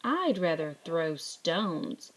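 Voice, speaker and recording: Storybook boy, played by a woman: snarling, bossy, grudging, low-ish voice, deliberate pace. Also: sing-song, exaggerated voice. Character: gruff bossy young boys, scornful of girls. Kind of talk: children's storybook character voices.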